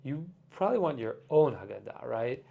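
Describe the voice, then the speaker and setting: A man's voice: medium pitch, sing-song, normal speed, vocal fry, narrow, tinny audio. Reflective man chatting as a podcast guest. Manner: reflective, explains with many "like" fillers. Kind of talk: casual conversation.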